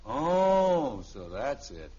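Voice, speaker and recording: A man's voice: medium pitch, very slow. An actor playing an exasperated, sarcastic middle-aged American father in a 1950s radio sitcom. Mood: wry, sarcastic. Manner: sarcastic, animated, blustering. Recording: dull, noisy vintage radio recording.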